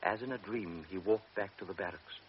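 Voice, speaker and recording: A man narrating a classic radio drama in a measured, grave storytelling voice. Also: low voice. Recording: dull old radio recording with hiss.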